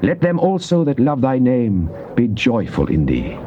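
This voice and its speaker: An actor playing a devout psalmist in a dramatized scripture reading, with a British accent and solemn, declamatory, measured phrasing. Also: male voice, mid-range voice, wildly swooping pitch. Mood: earnest, prayerful. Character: devout psalmist pleading and praising God. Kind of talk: dramatized scripture reading, classical stage delivery. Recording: dull, noisy old cassette tape.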